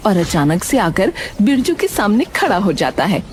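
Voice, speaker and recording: A woman's voice: mid-range voice, normal speed, background noise. A woman narrating a cartoon story in a scripted storytelling style. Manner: storytelling, expressive.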